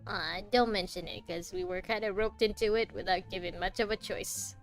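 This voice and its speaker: A woman's voice: mid-range voice, steady tone, moderate pace, music in the background. A young adult woman streaming a game, chatting casually and reacting in a playful, teasing way. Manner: playful, teasing, mild swearing. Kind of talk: casual let's play commentary, reading along and reacting.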